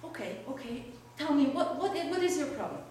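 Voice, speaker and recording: A woman's voice: high-pitched, very animated, a little fast, darkish tone, slight background noise. A woman voicing a frustrated, determined poor male villager in an oral folk tale, in an echoing room. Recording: very echoey room, distant mic.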